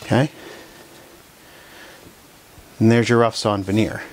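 A man teaching hand-tool woodworking in his shop, talking casually with frequent fillers and restarts while he demonstrates. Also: fairly low pitch. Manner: relaxed, halting, many fillers and restarts.

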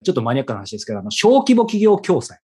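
Fast, animated male interviewer. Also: medium pitch, slight background noise. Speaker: interviewer, show host. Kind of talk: conversational interview.

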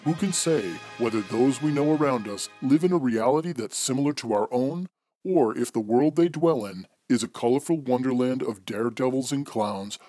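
A man's voice: mid-range voice, a little mellow. Formal male radio-drama host over music. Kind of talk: scripted outro in old-time radio mystery-host manner.

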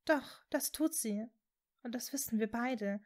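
A woman voicing a young witch in love, speaking with soft, earnest emotion in a fantasy audiobook. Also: high-pitched, expressive, fast talker, voice pushed up. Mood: tender.

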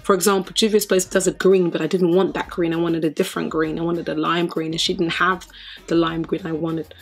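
A middle-aged woman from Nigeria with a British accent and a hoarse voice, chatting casually through a makeup tutorial in her sitting room. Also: on the low side, flat delivery, brisk, faint music behind. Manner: chatty, breezy, thinking aloud while working. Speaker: makeup vlogger. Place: sitting room in front of a sequin backdrop.

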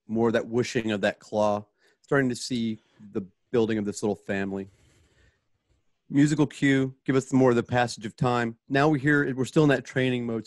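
A man's voice: medium pitch, no room sound. A man narrating stage directions for a radio play in an informal, conversational way as host.

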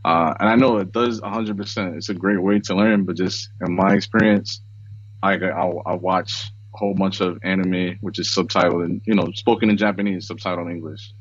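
An American man in his thirties, co-hosting a podcast over a video call, relaxed and chatty with frequent chuckles. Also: low-pitched, brisk, dry recording. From United States. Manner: relaxed, chuckles often, many fillers. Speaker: podcast co-host. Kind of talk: casual video-call chat. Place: small room at home, indoors. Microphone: headset on video call. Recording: slightly dull, lo-fi call audio.

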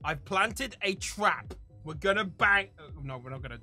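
A man doing excitable live gameplay commentary, exclaiming theatrically and talking to his chat. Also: high-pitched, normal speed. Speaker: video game streamer. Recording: game music behind.

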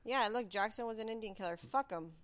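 A woman co-hosting a comedic radio talk show, teasing and quick with her comebacks. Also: a little high, fairly bright tone. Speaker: radio co-host. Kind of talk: casual comedic radio banter.

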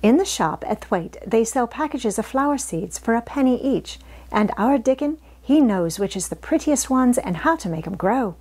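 A woman's voice: on the high side, lively intonation, moderate pace, darkish tone, pitched above the performer's own voice. A woman voicing a chatty, good-hearted young housemaid with folksy dialect grammar, in a storybook. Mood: excited, helpful.

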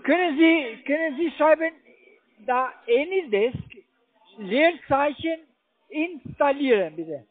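A man with a strikingly high voice comes through a tinny phone line. He is a call center agent, speaking German. He gives computer instructions haltingly, staying polite while pressing on. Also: animated, no echo. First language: not German.

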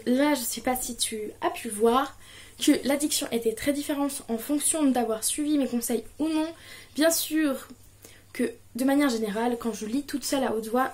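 A young woman in her twenties recording a casual diction tutorial at home, chatting and reading children's book blurbs aloud with lively intonation. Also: on the high side. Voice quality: muffled, as if nasal, from a pen held in her mouth. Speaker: vlogger. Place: small living room at home, indoors.

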